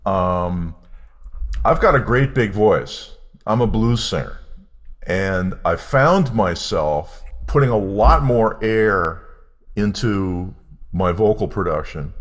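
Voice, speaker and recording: An older man, a blues singer, talking slowly and thoughtfully on a video call from home. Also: fairly low pitch. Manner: slow and reflective. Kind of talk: casual chat on a video call. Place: room at home.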